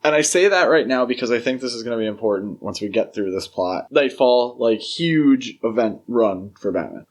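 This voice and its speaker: A man's voice: medium pitch, animated, moderate pace, few pauses. Wry male podcast co-host. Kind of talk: casual discussion, commentary.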